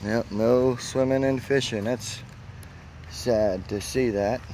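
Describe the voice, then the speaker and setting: A man's voice: medium pitch, fairly even intonation, slow pace, a little mellow, a little hiss or hum. A man narrating a casual travel vlog as he walks outdoors with a handheld camera, exclaiming at what he finds. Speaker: travel vlogger. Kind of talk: casual walking tour narration. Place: outdoors at a spring park.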